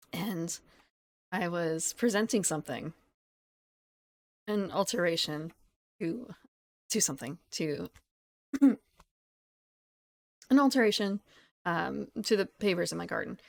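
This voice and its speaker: Croaky, low-voiced woman streamer. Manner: rambling, giggly, self-correcting. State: croaky from just waking up. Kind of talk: casual live-stream chat.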